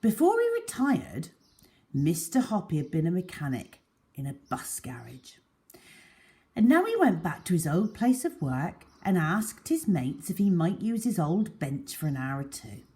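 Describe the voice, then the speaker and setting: Slow, expressive woman storyteller. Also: on the low side. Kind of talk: expressive children's story reading.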